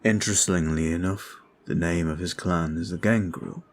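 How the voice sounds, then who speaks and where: A man playing a calm, courteous, protective vampire in an ASMR roleplay, soft-spoken and halting, with formal phrasing. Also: low-pitched, natural voice. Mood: grave, confiding. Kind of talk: ASMR roleplay audio drama.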